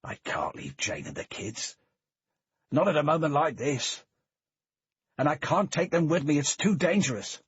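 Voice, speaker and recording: A man reading the part of a hard, determined male team leader who talks in a blunt, clipped way with a husky voice. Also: a little high, big pitch swings, unhurried, pauses often, no echo, pitched above the performer's own voice, exaggerated voice. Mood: torn. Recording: dull lo-fi recording.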